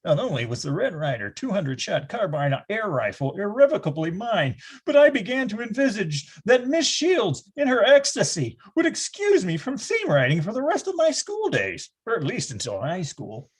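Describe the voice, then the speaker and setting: A man's voice: high voice, huge pitch swings, normal speed, few pauses, breathy edge, exaggerated voice. A man playing a grown-up storyteller who wryly recalls his boyhood in a mock-grand, theatrical manner for a readers theatre. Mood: triumphant.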